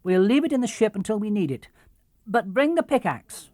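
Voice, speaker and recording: A man voicing a brisk, excitable young girl sailor with an English accent in a storybook. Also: sits high, no echo, studio quality, pitched above the performer's own voice. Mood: brisk, commanding. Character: bossy, eager, adventurous young girl sailor.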